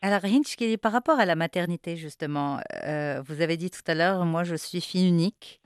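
A woman hosts an interview and asks her questions in a somewhat low voice. She breaks in with short encouraging interjections, and the sound is dry and close. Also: brisk, smooth, no room sound. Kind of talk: conversational interview.

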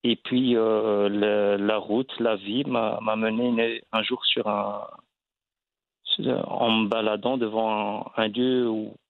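Gravelly man on a tinny phone line. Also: on the low side, little pitch movement, slow pace, no room sound. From Belgium. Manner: hesitant, many fillers and restarts. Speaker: actor, guest. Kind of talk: casual interview.

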